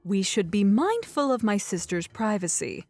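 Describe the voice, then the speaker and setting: A woman voicing a calm, regal, motherly mare princess with measured, soothing authority, in a cartoon-style story reading. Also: mid-range voice, voice pushed up. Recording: faint music behind the voice.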